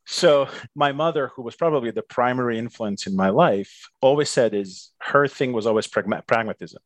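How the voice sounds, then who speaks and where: A man's voice: mid-range voice, moderate pace, no room sound. A man from Serbia who moved to the United States as a teenager, answering interview questions in a reflective, anecdotal, explanatory way. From Serbia (then Yugoslavia), moved to the US at fifteen. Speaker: interview guest, technology executive.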